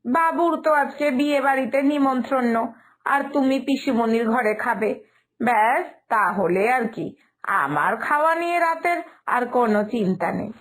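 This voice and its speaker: Woman playing older mother-in-law, high-pitched, fussy, stubborn, firm and explanatory, cartoon story dialogue. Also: lively intonation, normal speed. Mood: firm, dismissive.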